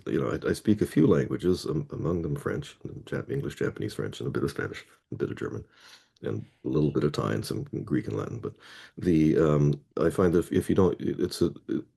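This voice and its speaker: A man's voice: extremely low pitch, creaky, dark tone, slightly rough. A middle-aged man from Toronto, a guest heading a Scrabble players association, telling a long anecdote in a casual interview and rephrasing himself mid-sentence. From Canada (Toronto).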